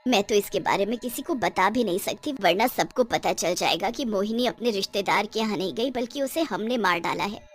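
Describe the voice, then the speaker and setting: A woman's voice: mid-range voice, a little fast, soft background music, natural voice. A woman voicing a frightened, guilty middle-aged mother in a story cartoon, muttering worriedly to herself. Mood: anxious dread.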